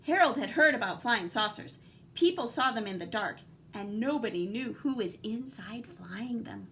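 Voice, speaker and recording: A woman reading a picture book aloud like a teacher, animated and dramatic, with guiding asides to young listeners. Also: fairly high pitch, normal speed, slight background noise.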